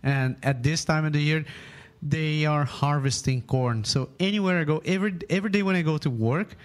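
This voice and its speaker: A man's voice: fairly high pitch, moderate pace. A man, chatting casually and jovially as a podcast co-host. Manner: jovial, joking. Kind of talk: casual chat.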